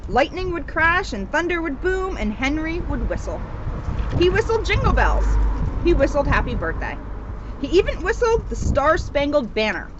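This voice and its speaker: A woman reading a children's storybook aloud in an animated, expressive way, with emphasis on the sound words. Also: high-pitched, moderate pace. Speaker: storybook reader, police officer. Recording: noisy, dull outdoor recording.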